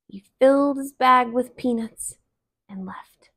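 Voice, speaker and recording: A woman in her thirties reading a children's story aloud in an expressive, deliberate way. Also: high voice. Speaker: storybook reader. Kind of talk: children's read-aloud narration. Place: small living room, indoors on a couch.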